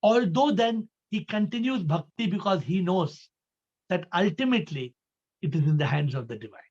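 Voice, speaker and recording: High-voiced Indian male spiritual teacher, dull audio. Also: expressive, normal speed, a little mellow. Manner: emphatic, didactic, repeats phrases and asks rhetorical questions. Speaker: spiritual teacher answering questions. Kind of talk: spiritual question-and-answer session. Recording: dull lo-fi online call audio.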